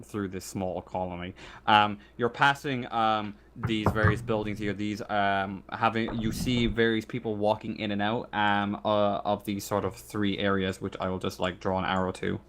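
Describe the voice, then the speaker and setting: Halting, low-voiced male game master. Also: flat delivery, fairly bright tone. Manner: halting, thinking aloud. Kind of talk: improvised narration of a tabletop roleplaying game.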